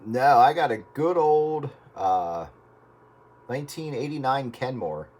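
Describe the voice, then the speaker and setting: A man's voice: medium pitch, expressive, slow pace, background noise. A man chatting casually on the radio in a folksy, plain-spoken way. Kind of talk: casual chat over radio.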